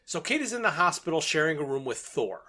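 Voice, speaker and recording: Male co-host, fairly high-pitched, casual and plain-spoken, handles the step-by-step recap. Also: big pitch swings, fast, sharp tone. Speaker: podcast co-host.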